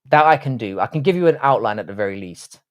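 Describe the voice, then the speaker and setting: A man's voice: mid-range voice, lively intonation, fast talker. A man with a London accent, live streaming casually, answering chat in an informal, matey way and swearing freely. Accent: London English. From London, England.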